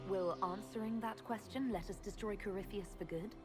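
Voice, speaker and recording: With music clearly playing behind her, a resolute quest leader played by a woman speaks at a medium female pitch. She is direct, composed and inquiring, in the manner of fantasy game dialogue. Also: moderate pace, close to an everyday voice. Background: game soundtrack music.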